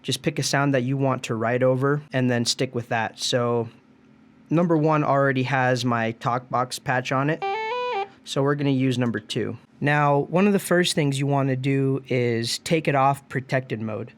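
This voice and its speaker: A man's voice: mid-range voice, moderate pace, faint music behind. Man giving a casual gear tutorial. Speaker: music gear tutorial presenter.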